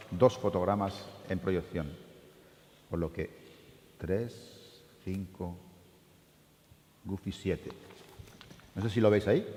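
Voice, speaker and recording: A man gives an informal, explanatory talk, haltingly, often repeating words and restarting phrases. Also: on the low side, a little mellow. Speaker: veteran animator guest speaker. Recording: echoey hall with clear background noise.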